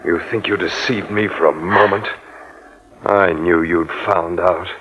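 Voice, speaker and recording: A bitter, murderous doctor in an old radio thriller. His voice is low and rough-edged, with theatrical menace and madness in the delivery. It comes through a muffled vintage broadcast recording. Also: fairly even intonation, measured pace, fairly bright tone, exaggerated voice. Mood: cold menace. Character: mad, murderous doctor, a bitter jealous husband. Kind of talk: 1940s radio acting.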